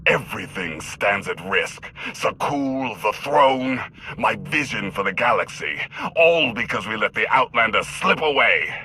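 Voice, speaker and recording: An actor playing a power-hungry galactic tyrant in a video game, speaking with menacing, imperious drama. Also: male voice, on the low side, sing-song, a little slow, slight background noise, full character voice. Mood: cold anger. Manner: menacing, imperious, swelling from hushed to forceful.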